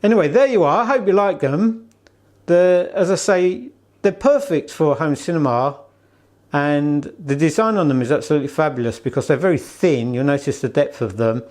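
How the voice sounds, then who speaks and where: A man's voice: medium pitch, darkish tone. Chatty man presenting a product review. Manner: chatty, rambling, repeats himself.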